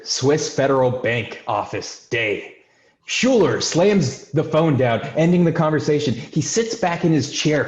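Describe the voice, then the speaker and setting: A man reads introductions and screenplay directions as host. His voice is medium in pitch, and the recording is noticeably roomy and a little dull. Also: darkish tone. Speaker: host and stage-direction narrator. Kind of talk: scripted introductions and screenplay narration.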